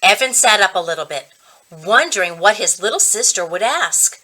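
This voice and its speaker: A woman reading a children's book aloud as a reading coach, with expressive, dramatic storytelling. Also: mid-range voice, moderate pace.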